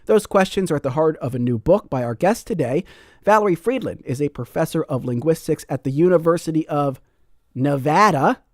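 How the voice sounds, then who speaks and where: A man's voice: fairly high pitch, animated, fairly quick, no room sound, studio quality. A man hosting a public radio call-in show, talking in a friendly way and reading out listener comments. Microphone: studio microphone.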